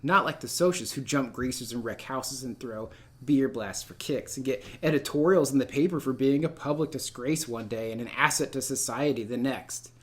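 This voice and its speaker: Expressive male teacher, informal delivery. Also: mid-range voice, normal speed. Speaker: middle school literature teacher. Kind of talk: read-aloud lesson, narrating a novel and addressing students.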